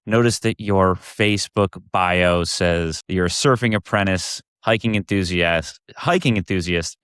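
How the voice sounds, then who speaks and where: Young male podcast host, low voice, smooth intro read, relaxed questions, dry sound. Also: no echo. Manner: friendly, scripted-sounding intro then easy questions. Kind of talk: podcast interview.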